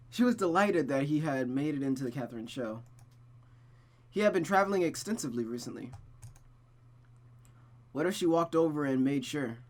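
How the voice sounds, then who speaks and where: A man reading a visual novel aloud with casual, slangy commentary and muttered asides. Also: on the high side. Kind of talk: casual playthrough with read-aloud narration and commentary.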